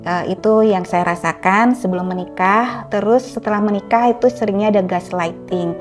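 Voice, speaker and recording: A middle-aged woman speaking earnestly and deliberately as an interview guest, explaining her personal experience. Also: mid-range voice, music in the background. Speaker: author.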